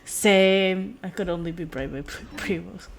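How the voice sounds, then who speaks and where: A woman's voice: fairly low pitch, measured pace, vocal fry, slight background noise. A woman game streamer chatting casually to her viewers during a livestream. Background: music.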